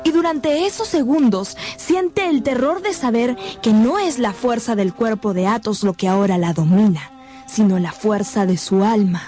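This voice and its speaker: Woman, narrator, higher pitch, dramatic audiobook-style narration, soft music behind. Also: normal speed.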